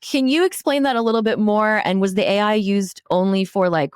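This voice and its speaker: A woman's voice: mid-range voice, fairly quick, clear, resonant tone, no room sound, studio quality. A woman hosting a podcast interview in a conversational, informal way, thinking aloud as she frames her questions. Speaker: podcast host interviewing a producer.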